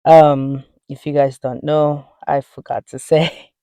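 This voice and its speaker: A woman's voice: sits low, steady tone, takes it slowly, warm tone, clear, resonant tone, no echo. A young Nigerian woman with a Nigerian accent, talking earnestly to camera in a casual vlog and dropping in frequent "you know"s. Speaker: vlogger.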